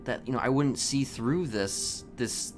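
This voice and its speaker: A man in an improvised in-character roleplay conversation, talking haltingly and often restarting his phrases. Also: mid-range voice, faint music behind. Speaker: roleplay game player.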